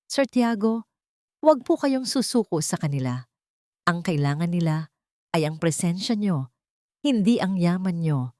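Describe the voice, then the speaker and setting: A devoted young Filipino housemaid, voiced by a woman narrator speaks in a gentle, respectful plea. It is audio drama letter reading, recorded dry and close. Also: fairly low pitch, lively intonation, unhurried, long pauses, no echo. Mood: tender pleading. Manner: respectful, pleading.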